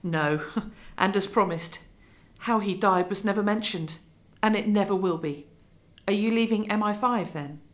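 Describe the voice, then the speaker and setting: A middle-aged woman playing a gracious, quietly resolute journalist near retirement in conversational radio drama dialogue. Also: medium pitch, unhurried, close to an everyday voice. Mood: reassuring.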